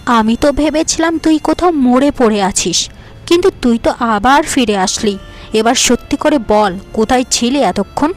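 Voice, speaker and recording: Cartoon casting: greedy scolding aunt, acted by a woman, harsh, bossy, high-pitched, music behind. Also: moderate pace, lightly acted. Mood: angry, suspicious.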